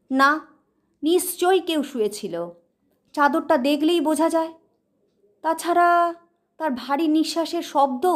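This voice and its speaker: A woman narrating a suspenseful ghost story from a script, expressively and with deliberate pauses. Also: sits high.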